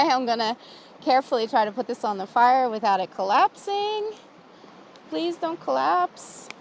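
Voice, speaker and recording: A woman's voice: mid-range voice, creaky, a little hiss or hum. An American woman chatting casually to the camera outdoors by a campfire, laughing often as she talks. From USA. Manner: chatty and giggly, talking to camera while cooking. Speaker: vlogger. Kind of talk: casual outdoor vlog chat.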